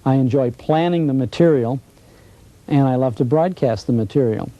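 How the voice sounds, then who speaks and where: A man's voice: medium pitch, moderate pace, no room sound, dull audio. An American man, a radio broadcaster, talking reflectively in an interview.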